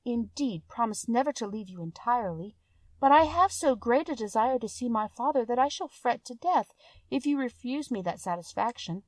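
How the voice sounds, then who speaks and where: A woman's voice: fairly high pitch, animated, normal speed, no room sound, voice pushed up, low-quality recording. A woman voicing a kind, sincere young woman in a storybook, with earnest, polite, old-fashioned speech. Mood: pleading.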